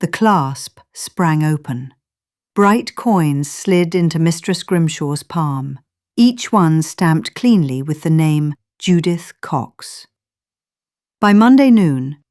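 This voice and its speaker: Low-pitched woman storyteller, slow and measured. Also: expressive, darkish tone. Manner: measured, literary storytelling delivery. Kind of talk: scripted story narration.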